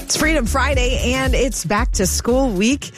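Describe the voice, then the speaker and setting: A woman's voice: fairly high pitch, music in the background. Upbeat woman hosting talk radio. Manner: upbeat and quick, with affirming interjections. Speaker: radio show host. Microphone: studio mic.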